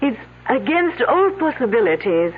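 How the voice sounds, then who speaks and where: An actress playing a demure young French student with a French accent in a 1970s radio drama. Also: female voice, on the high side, big pitch swings, moderate pace, breathy edge, phone-quality sound. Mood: guarded, catty. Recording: thin, faintly noisy old radio recording.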